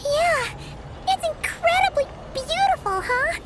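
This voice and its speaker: A woman voicing a small, bubbly, money-minded fairy companion with a squeaky childlike voice in a video game. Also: expressive, takes it slowly, fairly bright tone, a little hiss or hum, full character voice. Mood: awed. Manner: bubbly, exclaiming, refers to self by name. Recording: music behind the voice.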